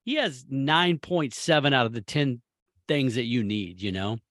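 An American man co-hosting a casual sports chat, speaking in opinionated, rambling and animated bursts. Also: mid-range voice, normal speed, dry recording, clean studio sound. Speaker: sports talk co-host.

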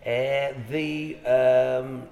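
A man originally from Ireland, hosting a casual podcast chat. He talks forcefully, cuts in often and mixes jokes with strong opinions. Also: medium pitch, flat delivery, extremely slow, dull audio. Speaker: podcast host. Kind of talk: casual conversation. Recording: echoey room with long reverb.

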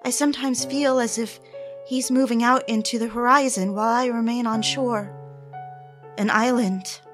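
A woman playing a brooding, isolated wife in an audio drama monologue, halting and pensive, unsure of herself. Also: fairly high pitch, fairly even intonation, over music, close to an everyday voice. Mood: brooding, uneasy. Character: brooding, isolated married woman, growing obsessed and uncertain.

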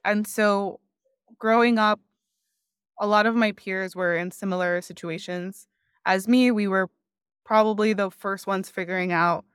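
A woman from Miami speaks as a guest on an interview podcast, reflective and earnest, with a smooth, clear voice at a middle pitch. Her thirties-age speech is casual, with frequent "like". The sound is dry and close. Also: fairly even intonation, measured pace, dry recording. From Miami, United States. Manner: reflective, earnest, uses "like" often. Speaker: podcast guest, customer success leader. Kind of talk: conversational interview.

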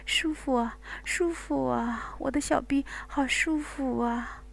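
A woman voicing a passionate adult woman in an intimate scene, with breathy, high moaning cries. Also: takes it slowly, full character voice.

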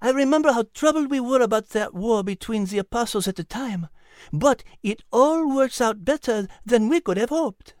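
A woman's voice: fairly high pitch, animated, moderate pace, darkish tone, no echo, clean studio sound, close to an everyday voice. A woman voicing a refined, playful and polite female cat with a French accent in a children's audio drama. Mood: knowing.

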